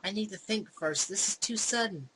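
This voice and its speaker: A woman's voice: medium pitch, moderate pace, lightly acted. Woman as a hesitant, frightened man, noisy recording. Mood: torn, panicked. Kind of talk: visual novel read-aloud acting. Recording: clearly noisy background.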